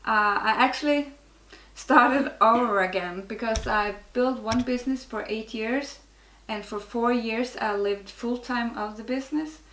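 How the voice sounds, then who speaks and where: A woman's voice: fairly high pitch, measured pace, a bit bright, reverberant, rough recording. A middle-aged woman from Norway with a Norwegian accent, speaking English as a guest in a casual interview, frank and often restarting her sentences. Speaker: guest, network marketer.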